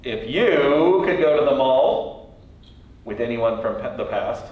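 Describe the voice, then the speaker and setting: A man's voice: mid-range voice, lively intonation, slow. A man hosting a casual, informal interview in a playful way. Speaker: interviewer, host. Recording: very echoey, distant, dull sound, faint noise.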